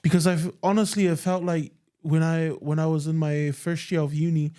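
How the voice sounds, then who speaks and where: Man, Tongan speaker, young adult, podcast co-host, earnest, emphatic, mellow tone. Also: fairly high pitch, flat delivery, normal speed. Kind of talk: casual chat.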